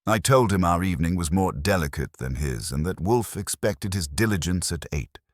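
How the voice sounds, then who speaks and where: Male narrator, very low register, lively intonation, dry close sound. Wry detective fiction read. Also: moderate pace, no echo. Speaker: audiobook narrator. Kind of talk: scripted first-person detective story narration.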